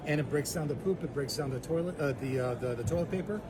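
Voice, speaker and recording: Eager man pitching outdoors over traffic. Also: fast talker. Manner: eager, explanatory, filler uh. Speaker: product inventor. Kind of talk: street product pitch.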